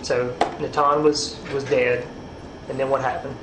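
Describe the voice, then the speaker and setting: A man's voice: medium pitch, level tone, unhurried. An American man working as a police interrogator, asking short, probing questions. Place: indoor police interview room. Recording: echoey, noisy interrogation room audio.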